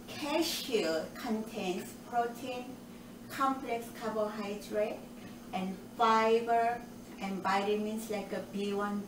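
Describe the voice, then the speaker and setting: An older woman with as her talks slowly and cheerfully through a recipe in her home kitchen. She is caught by a camera set a little way off, so her voice carries a roomy, distant ring with steady household noise behind it. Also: mid-range voice. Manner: cheerful, explains step by step with nutrition asides. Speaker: cooking show host. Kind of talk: home cooking demonstration. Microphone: far camera.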